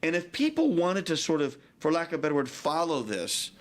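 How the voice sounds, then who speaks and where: A man's voice: on the high side, sing-song, a little fast. A man in his fifties hosting a radio talk show, effusive and enthusiastic with his guests and callers. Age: 50s.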